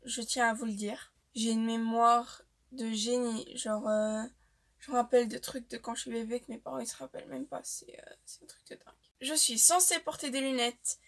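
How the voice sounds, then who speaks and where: A young woman, chatting casually to the camera about herself with frequent filler pauses. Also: on the high side, fairly even intonation. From Portuguese-speaking family, raised in Switzerland. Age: young adult. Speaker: vlogger. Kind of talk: casual facts-about-me vlog.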